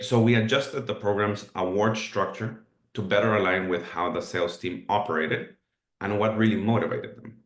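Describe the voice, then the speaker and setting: A man's voice: lowish voice, a bit bright, roomy sound. A man whose first language is Spanish, presenting a live webinar in an earnest, explanatory way. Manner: earnest, explanatory, with filler restarts.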